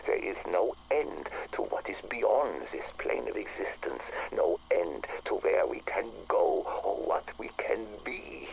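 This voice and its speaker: An actor playing an elderly occult scholar in a game audio log, reminiscing in a halting, theatrical way with a breathy, gravelly voice. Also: male voice, rumbling low, a little hiss or hum, full character voice, rough recording. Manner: halting, theatrical, savoring words. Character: elderly occult scholar reminiscing about his boyhood, wonderstruck.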